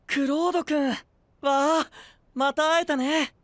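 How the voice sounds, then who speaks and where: A man playing a gentle, composed, boyish young knight with a friendly, polite manner in an anime-style voice drama. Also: very high-pitched, fairly even intonation, slow, no room sound, full character voice. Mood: delighted.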